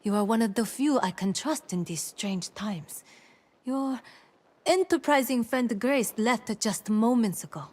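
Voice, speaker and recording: A woman's voice: fairly high pitch, lively intonation, a little mellow. Formal, gracious woman leader. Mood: gracious, concerned. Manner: formal, measured, courteous. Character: gracious, trusting woman leader giving counsel. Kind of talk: scripted video game quest dialogue.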